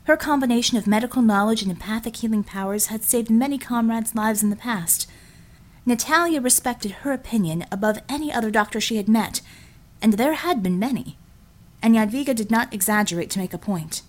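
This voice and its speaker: A woman's voice: a little high, a little fast. A woman reading a scripted story as an audiobook narrator, in a dramatic storytelling style.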